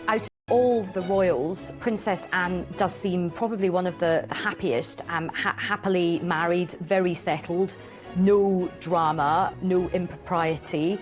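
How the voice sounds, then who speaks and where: A woman's voice: lowish voice, steady tone, unhurried. A woman speaking as a royal commentator in an interview. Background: faint music.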